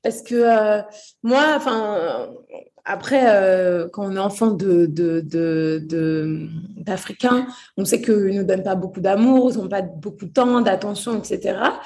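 A woman's voice: medium pitch, unhurried, darkish tone. A young woman from Portugal talking about her life as an interview guest, hesitant, with many fillers and restarts. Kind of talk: casual interview chat.

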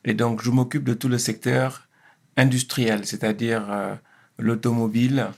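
Gravelly-voiced man in his fifties, hesitant. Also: mid-range voice, little pitch movement, a little slow, darkish tone. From Senegal. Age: 50s. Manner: hesitant, many fillers and restarts. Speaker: guest. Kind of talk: interview answers.

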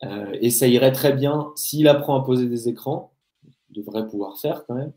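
A man's voice: mid-range voice, moderate pace, dark tone. A man hosting a live basketball stream, talking casually with lots of hesitant fillers. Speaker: host. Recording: roomy echo.

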